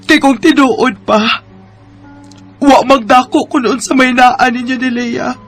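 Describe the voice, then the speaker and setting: Radio drama lead: lovesick young Cebuano Filipina, very high-pitched, earnest confession over soft music. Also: female voice, steady tone, unhurried. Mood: hurt, pleading. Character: lovelorn young woman confessing long-hidden love to old friend. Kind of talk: Bisaya radio drama acting. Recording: music behind the voice.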